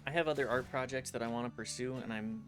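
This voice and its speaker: A man's voice: mid-range voice, steady tone, quick pace, a bit bright. A man streaming a game, chatting reflectively with his viewers as he plays. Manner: reflective, talks to chat while playing. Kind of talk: casual gameplay stream chat. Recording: game music playing behind.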